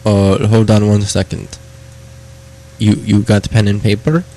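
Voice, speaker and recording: A man's voice: lowish voice, level tone, a little slow, warm tone, lightly acted. A man voicing an insistent foreign doctor on a prank call, speaking hesitant broken English and repeating himself. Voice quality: broken English with dropped endings. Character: foreign male doctor delivering test results, insistent. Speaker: prank caller.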